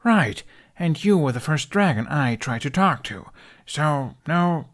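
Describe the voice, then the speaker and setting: An actor playing an awkward young man, stammering and excitable, with a wry, sarcastic streak. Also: a little high, unhurried. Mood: animated, wry.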